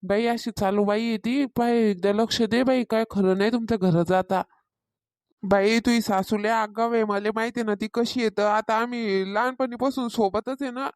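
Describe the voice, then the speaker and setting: A woman's voice: fairly high pitch, steady tone, moderate pace, a little mellow, dry recording, clean studio sound, close to an everyday voice. A woman voicing a meddling, insistent older village gossip in a cartoon comedy, with a rural Maharashtrian accent. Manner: insistent, tattling. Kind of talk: Marathi cartoon comedy dialogue.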